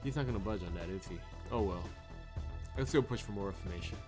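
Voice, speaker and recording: A man's voice: low voice, quick pace, natural voice. A man reading the lines of a curious, pragmatic young woman detective from a visual novel, with music behind. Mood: inquisitive.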